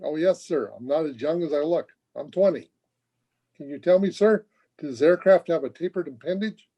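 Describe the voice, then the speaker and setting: Man as mellow, boyish young military officer. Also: on the high side, normal speed. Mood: earnest. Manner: courteous, earnest, wordy. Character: earnest, polite, boyish twenty-year-old Air Force officer. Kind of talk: old-time radio comedy acting.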